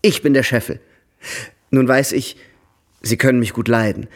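A man's voice: medium pitch, steady tone, normal speed, natural voice. A man in a radio drama playing a cheerful, self-mocking young suitor with a witty, eloquent way of talking. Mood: pleading, playful.

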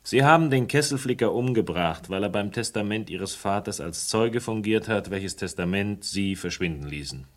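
Low-voiced, sardonic accuser played by a man. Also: animated, moderate pace, few pauses, close to an everyday voice. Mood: firm accusation. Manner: precise, dry, pointed phrasing. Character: persistent, sardonic male accuser laying out a murder case. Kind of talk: German radio crime drama acting.

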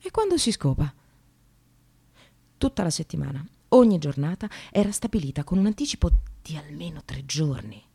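An actress playing a sardonic, separated working mother in a radio monologue, confessional and swinging from hushed to vehement. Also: low voice, big pitch swings, normal speed, gravelly, breathy edge, full character voice. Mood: wry, resigned. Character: Sara, bitter, self-mocking separated mother in precarious work.

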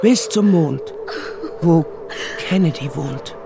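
A man's voice: on the high side, slow pace, creaky, loud music behind the voice. A man narrating a German radio play in a storytelling style.

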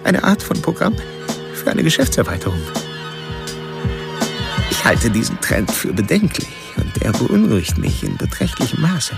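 A man's voice: mid-range voice, huge pitch swings, moderate pace, creaky, pauses often, loud music behind the voice. An actor playing a brooding serial killer who narrates his thoughts in a bookish, sardonic way for a radio drama. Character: brooding male serial killer, intellectual, coldly self-absorbed. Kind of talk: first-person radio drama narration, inner monologue.

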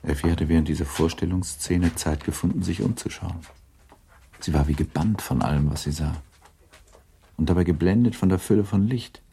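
A man narrating a literary radio play in a measured, sober storytelling manner, with a dark, gravelly, husky voice. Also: low-pitched.

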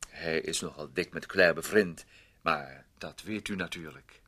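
An actor in a radio play voicing an ingratiating, meddling gentleman neighbour who wheedles and repeats himself with fussy politeness. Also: rumbling low, a little slow, slightly rough, exaggerated voice.

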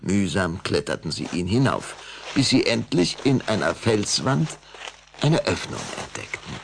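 Deep guy narrating a kids radio play, lively intonation, suspenseful. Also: measured pace, creaky, a little hiss or hum. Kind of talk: scripted children's audio drama narration in German.

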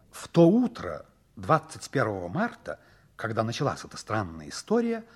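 A man's voice: fairly low pitch, breathy edge. A man narrating a 1960s radio play in a dramatic, scripted storytelling style.

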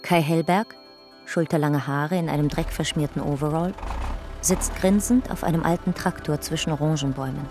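A woman reading scripted narration in a measured, documentary manner. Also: low voice, over music.

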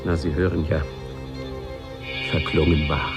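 A man's voice: rumbling low, little pitch movement, measured pace, loud music behind the voice. A man playing a sly, wry narrator who addresses the listener in a 1950s German radio play. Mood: wry, dramatic.

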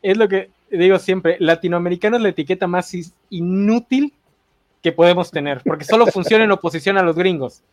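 A man's voice: sits high, no echo. An opinionated man, ranting sardonically on a podcast panel. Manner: opinionated, sardonic, quick rants. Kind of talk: casual panel chat.

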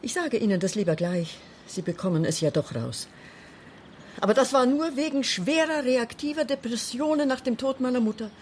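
An actress playing a lonely, anxious, defensive woman in a radio crime drama, speaking haltingly yet insistently. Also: fairly high pitch, animated, a little hiss or hum, lightly acted. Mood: agitated, defensive.

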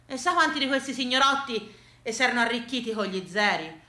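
Bright-voiced Tuscan woman storyteller, roomy sound. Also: on the high side, a little fast. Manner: colloquial, animated delivery with dramatic repetitions. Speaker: stage actress and storyteller. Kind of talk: theatrical monologue of rural folk tales. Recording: roomy, echoing space.

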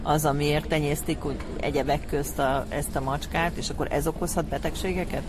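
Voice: mid-range voice, steady tone, noisy background. A radio programme host reading introductions and putting questions to a guest in an interview.

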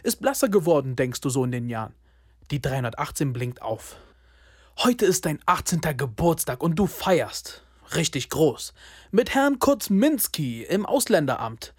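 A man's voice: on the high side, sing-song, moderate pace, slightly rough, no room sound, studio quality. A young man narrating a radio play in the second person, terse and sardonic, often breaking off to restart the scene.

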